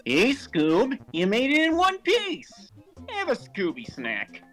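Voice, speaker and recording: A man's voice: very high-pitched, huge pitch swings, slow pace, fairly bright tone, soft background music, full character voice. A man voicing a friendly, upbeat young cartoon team leader with a hearty, cheerful manner.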